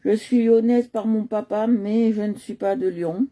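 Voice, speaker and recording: A woman's voice: mid-range voice, steady tone, unhurried, warm tone. A woman from Nice hosting a live coloring stream, chatting casually with many hesitations and fillers, talking into a computer at home. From Nice, France.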